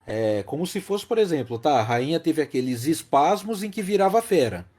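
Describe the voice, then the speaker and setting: A man's voice: on the high side, big pitch swings, moderate pace, a little mellow. A Brazilian man co-hosting a casual film discussion, explaining things emphatically and repeating key phrases. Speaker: podcast host.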